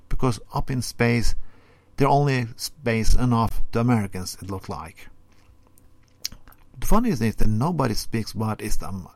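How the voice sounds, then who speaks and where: A man whose first language is Norwegian gives a sarcastic commentary segment in deadpan tones, with halting non-native phrasing. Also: lowish voice, no room sound. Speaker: podcast segment presenter.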